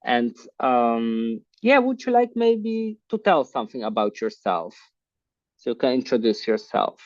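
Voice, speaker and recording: A man hosting an online class on a video call, talking in a halting way with many fillers. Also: medium pitch, very animated, darkish tone, no echo. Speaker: online course host. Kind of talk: casual online class talk. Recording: muffled video call audio.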